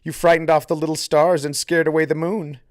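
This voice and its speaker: A man voicing a sneering teenage tent mate in an audiobook, taunting in a sing-song way with drawn-out words. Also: on the high side, little pitch movement, no room sound, clean studio sound, pitched above the performer's own voice, lightly acted. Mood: mocking.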